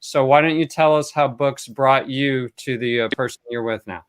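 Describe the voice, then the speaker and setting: A man casually hosting an online book event in a conversational, measured way. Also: mid-range voice, steady tone, smooth, no echo.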